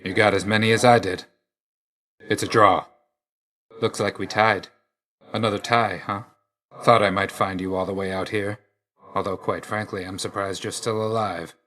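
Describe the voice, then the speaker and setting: A man playing a grim, aloof god of death in a video game, terse and deadpan, stern yet grudgingly loyal. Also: fairly low pitch, moderate pace, long pauses. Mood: grudging approval. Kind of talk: scripted game character lines.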